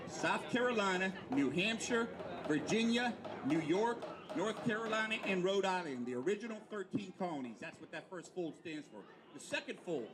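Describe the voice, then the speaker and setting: A man giving an educational talk to children, presenting in simple steps with questions and answers. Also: sits high. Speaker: flag etiquette presenter. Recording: noisy outdoor event recording.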